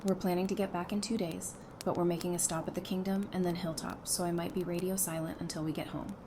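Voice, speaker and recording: A woman playing a sassy, sarcastic, quick-witted wife with dry, teasing delivery in an audio drama. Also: lowish voice, level tone, natural voice. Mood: matter-of-fact. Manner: dry, teasing, matter-of-fact. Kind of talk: fan audio drama acting. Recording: clearly noisy background.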